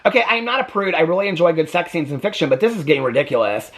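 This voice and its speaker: A man's voice: a little high, rapid-fire. A man chatting casually about books he has read, reading synopses aloud and breaking off with wry complaints and asides. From United States. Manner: animated, chatty, self-interrupting, wry complaints. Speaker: book reviewer.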